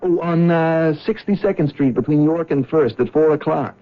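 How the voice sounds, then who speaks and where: An actor playing a nervous, talkative cab driver in a 1970s radio drama, hesitant and apologetic with many stammers. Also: male voice, fairly high pitch, normal speed, natural voice. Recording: dull old radio broadcast.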